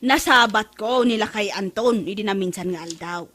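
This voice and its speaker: A woman's voice: medium pitch, moderate pace, fairly bright tone, natural voice. A woman with an Ilocano accent playing a fretful, scolding mother in a comedy radio drama. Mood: worried scolding.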